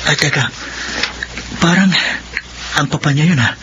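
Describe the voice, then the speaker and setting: Young Filipino grandson, played by a man, slightly high voice, earnest and courteous, radio drama, dull noisy audio. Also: a little slow, close to an everyday voice. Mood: casual, friendly. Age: young adult. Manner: respectful, earnest. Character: dutiful, polite young Filipino student grandson. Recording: muffled audio, clear background noise.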